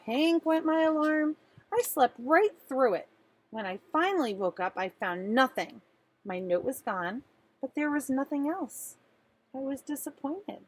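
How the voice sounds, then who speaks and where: Woman, high-pitched voice, teacher, expressive storybook read-aloud, animated, addressing young children. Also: rough recording.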